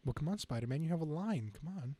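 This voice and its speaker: A man's voice: a little high, expressive, fast, over a noisy crowd. A man co-hosting a podcast with casual, joking banter. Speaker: podcast co-host. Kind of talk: casual joking banter during comic reading.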